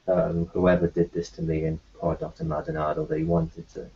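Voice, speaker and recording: A man roleplaying his character in a tabletop game, talking in a halting way full of fillers and restarts. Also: low-pitched, big pitch swings, gravelly, warm tone, slightly rough. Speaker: player voicing his doctor character. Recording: dull lo-fi recording.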